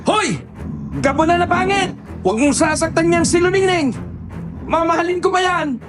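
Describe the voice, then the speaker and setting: A man voicing a brave rural man who shouts defiance at monsters in a spoken horror story. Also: extremely high pitch, normal speed, over music. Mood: protective. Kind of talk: audio horror story acting.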